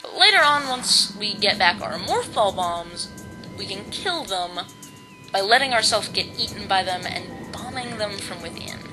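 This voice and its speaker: A sixteen-year-old boy with a high-pitched voice, giving live gameplay commentary in a hesitant, rambling way with many ums and restarts. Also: animated, a little slow, rough recording. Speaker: let's play commentator. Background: video game music.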